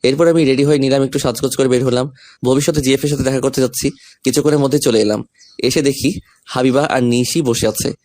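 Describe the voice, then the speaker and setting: Young male narrator and lead for romantic audio story, mid-range pitch, scripted delivery. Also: fairly even intonation, quick pace, darkish tone, dry recording.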